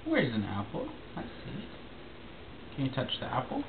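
Guy teasing and praising a baby, slow but super swoopy voice, tinny home video. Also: medium pitch, wildly swooping pitch, gravelly, heavy background noise. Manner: teasing, encouraging. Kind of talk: casual playful baby-directed chat. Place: carpeted room at home.

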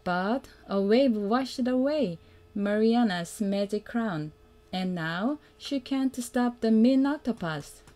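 A woman reading a children's picture book aloud as a mother would, in a simple, deliberate way that invites the young listener to join in. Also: on the high side, animated, dark tone. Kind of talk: read-aloud children's storytelling.

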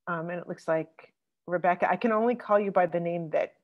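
A woman moderating an online group discussion over a video call, speaking thoughtfully with many pauses and fillers. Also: lowish voice, dull audio. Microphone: computer mic on a video call.

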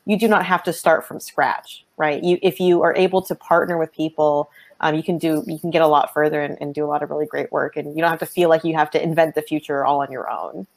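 Low-voiced earnest woman academic. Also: steady tone, fast, creaky. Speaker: panelist, computer science professor. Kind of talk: panel discussion on a video call. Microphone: video call from home.

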